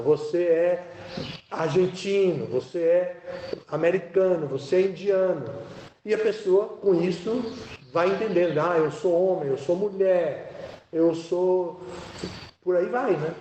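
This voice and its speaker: A man with a fairly high, mellow voice teaches scripture in slow, measured phrases broken by pauses. He is heard from a distance in a strongly reverberant room. A faint hiss sits under the sound. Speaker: spiritual teacher. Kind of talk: lecture on scripture. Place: lecture space at a rural community. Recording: very echoey room, voice from far off, faint noise.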